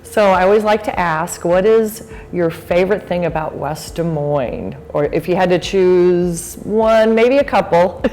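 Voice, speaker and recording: A woman's voice: on the low side, unhurried, a little mellow, big-room sound, soft background music. A woman hosting an interview, effusive and enthusiastic in her reactions. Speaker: interview host. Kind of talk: interview chat.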